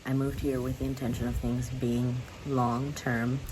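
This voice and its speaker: Low-voiced young woman vlogging candidly. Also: flat delivery, measured pace, noisy background. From United States. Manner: candid, rambling, laughs often. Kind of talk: casual talk to camera.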